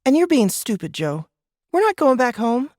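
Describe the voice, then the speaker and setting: A man's voice: extremely high pitch, big pitch swings, normal speed, darkish tone, dry recording, studio quality, pitched above the performer's own voice. A man voicing a blunt, stubborn young girl who scolds and contradicts in a science fiction audiobook. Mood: stubborn, annoyed. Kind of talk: sci-fi audiobook character dialogue.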